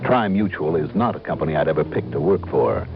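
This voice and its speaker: A man's voice: extremely low pitch, big pitch swings, fairly quick, creaky, heavily acted. An American man acting a wry, easygoing freelance insurance investigator in a mid-century radio detective drama, dry and wisecracking. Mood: wry narration. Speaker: lead actor and narrator of a detective radio drama. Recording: muffled old-time radio recording with music.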